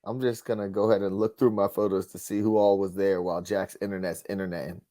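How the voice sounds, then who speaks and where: A man's voice: sits low, level tone, fast, mellow, no room sound, studio quality. A man on a podcast panel making casual, short interjections.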